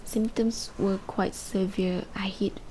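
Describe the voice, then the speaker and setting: A woman playing a withdrawn, depressed patient in her twenties in an amateur radio drama, speaking haltingly. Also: mid-range voice, steady tone, dark tone, a little hiss or hum, close to an everyday voice, rough recording. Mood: hesitant, downcast. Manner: hesitant, halting, breaking into whispers. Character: withdrawn, depressed twenty-three-year-old patient confessing painfully. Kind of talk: amateur radio drama acting, confessional monologue.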